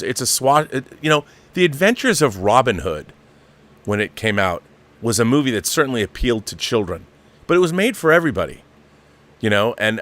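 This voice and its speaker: A man's voice: mid-range voice, a bit bright. A middle-aged or older man hosting a live show, giving opinionated, casual film commentary and repeating phrases for emphasis.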